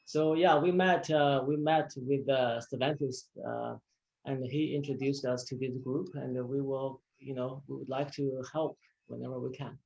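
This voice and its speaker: A man's voice: mid-range voice, fairly even intonation, measured pace, gravelly, a little mellow. A man giving a casual introduction as an IT entrepreneur on a muffled online group call. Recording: muffled video call audio.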